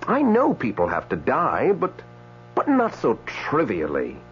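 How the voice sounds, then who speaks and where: An actor playing an indignant, newly dead man in a radio drama, speaking with hesitant stammers and dramatic pauses. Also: mid-range voice, wildly swooping pitch, faint music behind. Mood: aggrieved. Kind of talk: radio drama acting with first-person narration. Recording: dull radio broadcast, faint mains hum.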